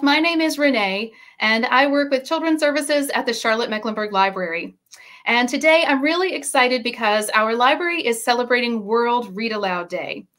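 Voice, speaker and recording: A woman's voice: fairly high pitch, a bit bright. A woman presenting and reading a picture book aloud to children, speaking expressively and addressing her listeners directly with questions.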